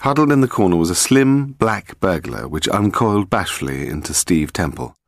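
British man, audiobook narrator, lowish gravelly voice, dramatic, measured, dry close sound. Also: expressive, no echo. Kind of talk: scripted narration of a detective story.

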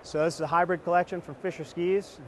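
A man's voice: high voice, fairly even intonation, fast, slight background noise. A man in his thirties presenting skis at a trade show booth, talking in a confident, fluent sales manner. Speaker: ski company executive presenting products. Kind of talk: product demo presentation.

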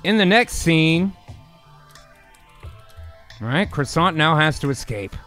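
Male host-narrator, high voice, casual and unhurried, with light music behind. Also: sing-song, a bit bright. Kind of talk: casual improv show chat and script reading. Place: indoor home streaming setup.

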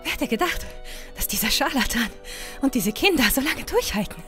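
A woman playing a determined, brisk young noblewoman in a fantasy audio drama. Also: sits high, expressive, a bit bright, faint music behind. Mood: scornful. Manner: bossy, brisk.

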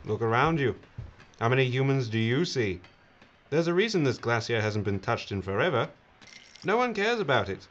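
A smug, self-important male tycoon, played by an actor, sells himself in a film interview. His pompous delivery moves up and down in a lively way, and the audio is slightly dull. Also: medium pitch, moderate pace, natural voice. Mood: breezy, offhand. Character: smug, slick, self-important male billionaire businessman pitching glacier water. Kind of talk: film dialogue, mock interview.

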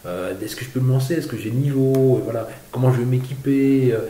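A man's voice: mid-range voice, quick pace, warm tone. A man, a journalist and co-author, speaking at a panel evening, stammering and asking questions aloud. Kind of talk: panel talk at a book evening. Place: small room in a bookshop. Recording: echoey room.

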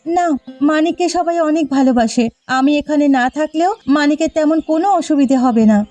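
Woman playing a mellow, reproachful village housewife. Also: high voice, normal speed, soft background music, close to an everyday voice. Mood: resigned. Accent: Bengali. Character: reproachful, principled village housewife and sister-in-law. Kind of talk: Bengali cartoon voice acting.

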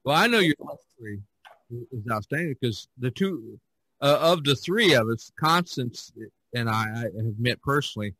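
Man hosting a casual, halting discussion. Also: medium pitch, animated, bright, forceful tone, husky, dry recording, rough recording. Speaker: podcast host.

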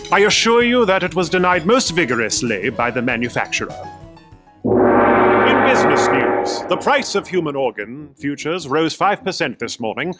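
An unflappable manservant speaks formally and precisely. His voice sits slightly high and moves through wide swings, dryly deferential in radio comedy style. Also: a bit bright. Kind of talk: comedy radio play acting.

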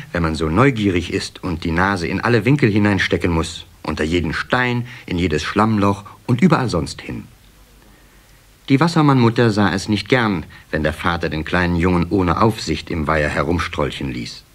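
A man narrating a children's story in a measured storyteller manner. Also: low voice, animated.

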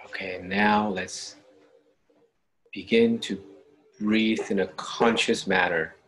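A man guides a meditation in a fairly low voice. He gives gentle instructions one step at a time and leaves unhurried pauses between them. There is some ambient noise in the recording. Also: fairly even intonation, creaky.

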